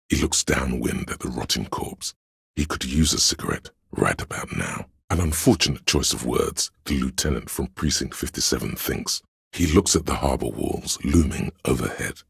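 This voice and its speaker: An all-knowing inner voice of police instinct in a literary video game. It is extremely low and very husky, speaking with grave deliberation and dry irony. The sound is close and dry, with no room around it. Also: male voice, dry recording, heavily acted. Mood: dry, deliberate. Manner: measured, deliberate, dryly ironic. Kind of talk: second-person literary game narration.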